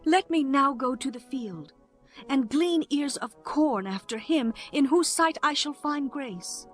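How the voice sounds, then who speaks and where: Bible audio drama: a woman plays a young widowed gleaner, high-pitched, meek and sincere. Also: expressive, measured pace, faint music behind. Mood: pleading. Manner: meek, earnest. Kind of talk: dramatized scripture acting.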